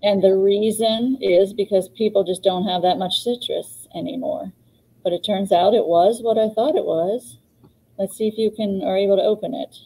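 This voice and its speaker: Chatty woman co-host with a mellow voice. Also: medium pitch, fairly even intonation, normal speed, low-quality recording. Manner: chatty, laughs often, many ums. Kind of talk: live online plant advice show. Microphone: webcam on a video call.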